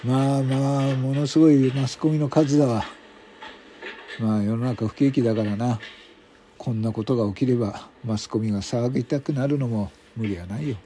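男性が、ラジオドラマで呆れ顔の上司の男性刑事を、諦めたようなぼやき口調で演じている。. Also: male voice, medium pitch, steady tone, normal speed, dark tone, close to an everyday voice. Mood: resigned. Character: jaded senior male police detective, exasperated boss. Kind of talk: radio drama acting.

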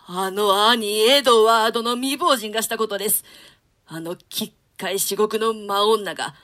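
A woman's voice: a little high, pushed and bright. A woman playing a wrathful, scheming nobleman in an archaic, imperious dramatic reading of Shakespeare. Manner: archaic, imperious, theatrical delivery.

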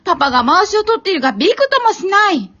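A woman acts out a bossy, playful mother in a comedy radio drama. Her voice is strikingly high, delivering brisk mock-serious ringside commentary. The recording sounds a touch muffled. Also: big pitch swings, bright, forceful tone, exaggerated voice. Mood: mock excitement.

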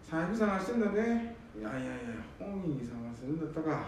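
A man's voice: fairly high pitch, big pitch swings, brisk, slight background noise. A man playing a short-tempered, work-weary son grumbling in Nanbu dialect in an amateur radio drama. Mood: weary, irritated. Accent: Nanbu dialect, northern Tohoku. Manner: grumbling, exasperated. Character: short-tempered, work-weary eldest son who cares for his parents. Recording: very echoey, distant from mic.

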